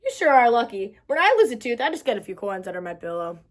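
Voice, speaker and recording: A cheerful little storybook boy read by a woman, high-voiced and eager. He teases lightly and sounds childlike, with lively swings in pitch. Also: quick pace. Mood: cheerful, impressed.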